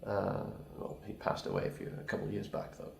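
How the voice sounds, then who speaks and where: A man's voice: on the low side, monotone, a little fast, vocal fry, a little mellow. A man, a pianist speaking English as a second language, giving a thoughtful, hesitant reply full of restarts and apologies. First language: not English. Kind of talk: recorded reply to a video challenge. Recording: echoey room.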